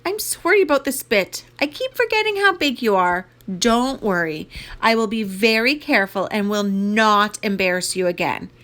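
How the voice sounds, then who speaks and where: A woman's voice: mid-range voice, lively intonation, moderate pace, a bit bright, close to an everyday voice. A woman voicing a well-meaning, oblivious mother in a children's story, with a singsong, placating manner. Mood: apologetic.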